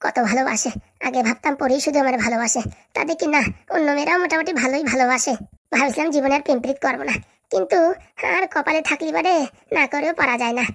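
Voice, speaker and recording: A woman's voice: high-pitched, fast, a bit bright. A woman voicing an evasive, reassuring young student boyfriend in a comedy cartoon, chatty and brushing off objections. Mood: smug musing. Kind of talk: Bangla comedy cartoon dialogue.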